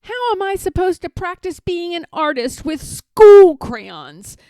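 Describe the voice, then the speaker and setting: A woman's voice: very high-pitched, expressive, takes it slowly, warm tone, no room sound, voice pushed up, full character voice. A woman reading a storybook, voicing an earnest little boy with a plaintive, insistent manner. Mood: indignant. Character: earnest, indignant little boy, budding artist. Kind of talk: children's storybook read-aloud.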